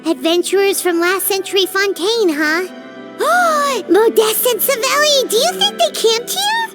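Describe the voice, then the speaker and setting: Bouncy, very high-pitched little sidekick creature, boastful and chirpy. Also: female voice, big pitch swings, measured pace, loud music behind the voice, exaggerated voice. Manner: chirpy, talks of herself in the third person. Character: tiny floating girlish companion, bubbly and boastful.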